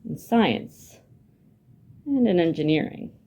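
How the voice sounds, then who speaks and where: A woman's voice: lowish voice, animated, slow pace, slightly rough, lo-fi sound. A woman children's librarian leading a hands-on building lesson, asking the young viewers lots of encouraging questions. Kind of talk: hands-on craft lesson for kids.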